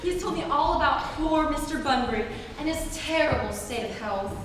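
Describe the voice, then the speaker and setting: A woman's voice: high voice, animated, brisk. A young woman playing an eager, sweetly insistent girl in an amateur reading of a stage comedy. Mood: pleading. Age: teens to young adult. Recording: very noisy echoey hall, distant mic.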